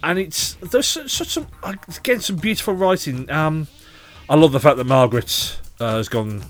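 A man co-hosting a podcast in casual chat, animated and opinionated, quick to joke. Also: a little high, faint music behind. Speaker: podcast co-host.

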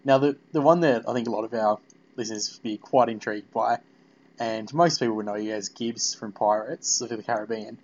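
A man's voice: fairly low pitch, fairly quick, a little mellow, lo-fi sound. A man hosting a casual fan interview, putting loosely phrased, rambling questions to a guest. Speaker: interviewer, podcast host.

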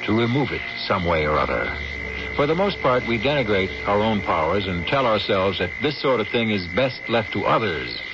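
A man hosting an old-time radio drama with solemn, theatrical, deliberately phrased narration. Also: on the low side, very animated, heavy background noise. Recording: dull old radio broadcast under loud music.

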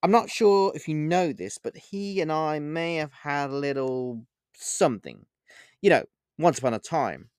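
A man voicing a scornful, playful female witch in an audiobook, mocking and theatrical, with drawn-out emphatic phrasing. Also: fairly high pitch, clear, resonant tone, no echo, clean studio sound, pitched above the performer's own voice, natural voice. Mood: sly, taunting. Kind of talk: audiobook character voice.

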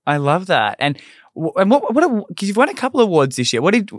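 A man's voice: sits high, very animated, quick pace, dry recording, studio quality. A man hosting a podcast interview, asking enthusiastic questions with frequent restarts. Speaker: podcast host.

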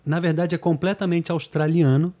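A man's voice: fairly high pitch, fairly even intonation, normal speed, dark tone. A man interviewing a guest in a chatty, rambling way, often checking in with tag questions. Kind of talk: interview conversation.

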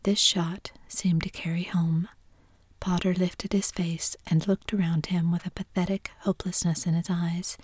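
A woman narrating a classic story entirely in a soft whisper. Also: fairly low pitch, level tone, unhurried, husky, dry recording. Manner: soft unvoiced whisper throughout.

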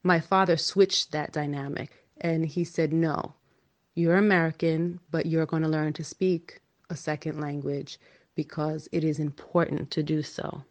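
A woman from New York, an English as a second language teacher, telling her own story in an earnest, measured way. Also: deep voice, fairly even intonation, dull audio. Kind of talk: reflective personal story.

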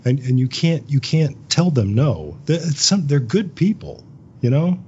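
A man's voice: on the high side, big pitch swings, unhurried, darkish tone, highs cut off, slight background noise. A man speaking as a writer and voice actor being interviewed, thoughtful and conversational, acting out imagined lines as he explains. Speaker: interview guest, audio drama writer and voice actor.

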